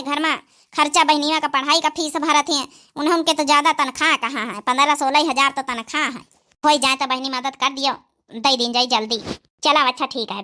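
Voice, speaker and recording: A woman acts out a rural Awadhi mother-in-law in a cartoon comedy. Her voice is high-pitched and bright. She talks in a homely, matter-of-fact way, as a concerned elder with advice to give.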